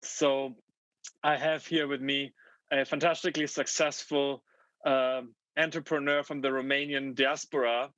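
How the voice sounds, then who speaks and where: A man in his thirties moderating a fireside chat over a video call from a home room, friendly and halting, with many fillers and restarts. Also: mid-range voice, flat delivery, a bit bright, dry recording. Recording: dull, dry video call audio.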